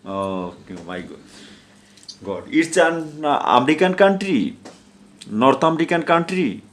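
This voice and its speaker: A man with a Bangladeshi accent chatting casually in halting, simple learner's English on an online video call. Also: a little high, very animated, fairly bright tone. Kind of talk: casual English practice conversation. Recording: clear background noise, online call.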